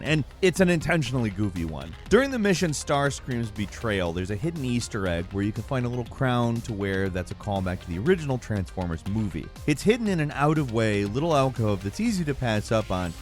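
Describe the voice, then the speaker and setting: Animated man narrating a gaming countdown. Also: medium pitch. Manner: informal, conversational delivery. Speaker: video host and narrator. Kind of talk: scripted countdown narration about video games.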